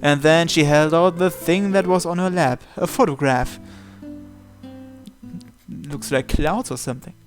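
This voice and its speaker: A game narrator translating and reading visual novel text aloud, with some hesitant pauses. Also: medium pitch. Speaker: video game playthrough narrator. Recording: game music behind the voice. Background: visual novel music and Japanese voice acting.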